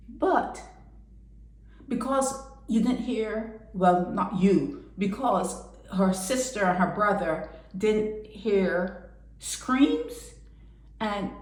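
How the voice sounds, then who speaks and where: An indignant woman holds forth alone, pressing one rhetorical question after another. Her voice sits fairly high and she takes her time, letting each word land slowly. The sound is roomy and echoey. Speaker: commentator. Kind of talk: impassioned solo commentary.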